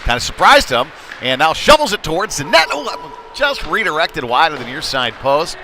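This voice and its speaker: A man's voice: on the high side, sing-song, fairly quick, sharp tone. A man doing live play-by-play commentary of a youth hockey game in a conversational, somewhat hesitant way. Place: indoor ice hockey rink. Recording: noisy ice rink ambience. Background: clear rink noise and ambience.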